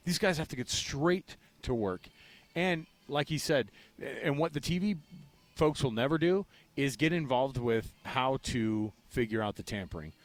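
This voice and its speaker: An American man co-hosting a sports radio show in casual talk, animated and repeating phrases for emphasis, often with rhetorical questions. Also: mid-range voice.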